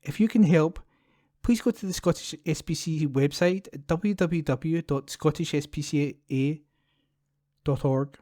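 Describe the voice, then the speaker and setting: A man with a slightly high, soft-toned voice reads scripted local news items aloud. He delivers them as a volunteer newspaper reader, recorded close and dry at home. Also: a little slow, dry recording.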